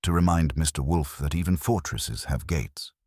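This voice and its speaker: A man's voice: very deep voice, normal speed, a little mellow, slightly rough, dry recording, studio quality. A man voicing a smug, theatrical villain in an audio mystery, pompous and precise in a pleasantly menacing way.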